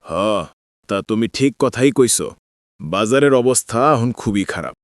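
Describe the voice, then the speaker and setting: Lively-toned village trader voiced by a man. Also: mid-range voice, moderate pace, no room sound, clean studio sound, natural voice. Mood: friendly, businesslike. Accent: Bangladeshi Bengali dialect. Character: friendly village trader, older-brother type, talking business. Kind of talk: cartoon fairytale dialogue.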